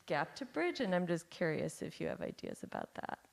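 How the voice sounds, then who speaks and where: A woman's voice: lowish voice, sing-song, brisk, vocal fry. A woman in the audience asking a lecturer a question, speaking through a mask in a searching, tentative way. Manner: searching, tentative, uses fillers like "like".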